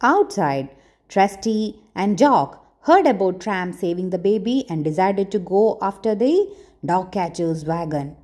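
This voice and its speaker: A woman storyteller reading a children's storybook aloud, with deliberate, expressive phrasing and dramatic pauses. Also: medium pitch. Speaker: storyteller, channel host.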